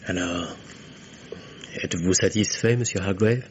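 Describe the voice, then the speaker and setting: Polite, calculating forger, very deep voice, formal radio-play delivery, slight background noise. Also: male voice, measured pace, muffled recording. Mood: confident, smug. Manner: formal, polite, self-possessed. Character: polite, smooth-talking forger posing as loyal friend. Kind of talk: 1950s French radio mystery acting. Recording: noisy old radio recording.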